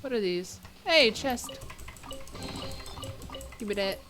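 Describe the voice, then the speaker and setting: A woman's voice: mid-range voice, expressive, takes it slowly, background noise. A woman giving casual gameplay commentary, talking to herself in short, offhand reactions. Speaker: video game streamer.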